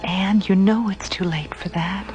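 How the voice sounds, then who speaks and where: Woman playing a patient soft-mannered scientist in a radio play, dull recording, music behind. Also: medium pitch, steady tone, a little slow, natural voice. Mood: gentle concern. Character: gentle, patient female research director. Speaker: radio drama actor. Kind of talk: restrained radio drama acting.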